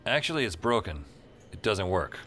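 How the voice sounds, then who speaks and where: Deep, wry noir hero. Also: male voice, normal speed, faint music behind. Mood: deadpan, dry. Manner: deadpan, sardonic. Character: wry, weary male noir 911 dispatcher narrator. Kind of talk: first-person noir narration, fiction podcast acting.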